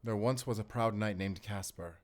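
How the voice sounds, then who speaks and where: A man acts out a mysterious werewolf hunter for a cinematic roleplay. His voice sits low, and he talks softly with dry, teasing wit. He comes across as protective, like someone keeping night watch and telling bedtime stories. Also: normal speed, natural voice. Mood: hushed storytelling. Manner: soft-spoken teasing, switching between English and German. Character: mysterious German-speaking male werewolf hunter, wry and protective. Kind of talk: cinematic audio roleplay, intimate bedtime storytelling.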